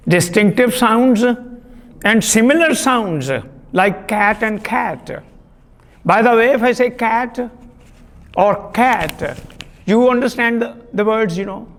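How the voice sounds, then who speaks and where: A man giving a classroom lecture on literary theory, deliberate and repetitive, pausing with fillers. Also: high-pitched, in a large hall. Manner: deliberate, repeats key terms, frequent uh fillers.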